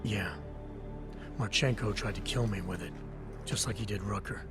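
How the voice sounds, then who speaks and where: A man's voice: fairly low pitch, normal speed, lightly acted. An actor playing a grim male agent in a video game, talking in a terse, dry, understated way. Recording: music behind.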